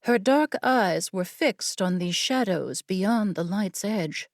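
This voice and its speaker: A female narrator reads fantasy fiction at an unhurried pace. Her storytelling is measured and deliberate, with a medium pitch and a close, dry sound free of room echo. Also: expressive. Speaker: audiobook narrator.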